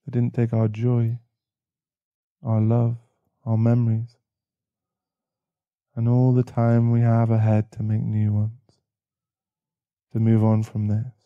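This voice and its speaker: Man acting a soft gravelly boyfriend, soothing. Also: medium pitch, completely flat delivery, dry recording, narrow, tinny audio. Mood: reassuring, loving. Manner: soft, soothing, halting, sighing. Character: caring, protective, shaken boyfriend comforting a partner. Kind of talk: ASMR boyfriend roleplay, intimate hushed talk. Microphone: close mic.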